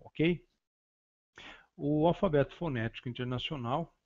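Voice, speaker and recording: A man teaching a class on phonetics in a halting, lecturing way, restarting phrases and often saying "então". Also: medium pitch, muffled recording. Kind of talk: recorded lecture.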